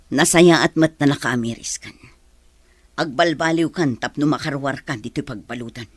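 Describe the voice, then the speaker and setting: Woman doing a hurt strict mom, husky, half whispering. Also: rumbling low, normal speed, no room sound, studio quality. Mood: stern. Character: stern mother visiting in prison, wounded. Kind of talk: radio drama acting.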